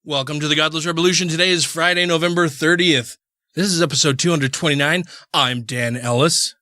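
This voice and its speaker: A man in his forties hosting a podcast in casual chat, rambling with halting restarts. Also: on the high side, steady tone, pushed and bright, dry recording, clean studio sound. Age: 40s. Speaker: podcast host.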